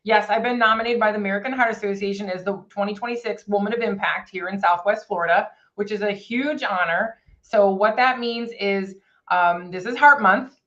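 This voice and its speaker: A woman's voice: medium pitch, a little fast, fairly bright tone. Earnest chatty woman, dull lo-fi sound. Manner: chatty and earnest, with frequent restarts. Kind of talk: casual chat, promoting a fundraiser.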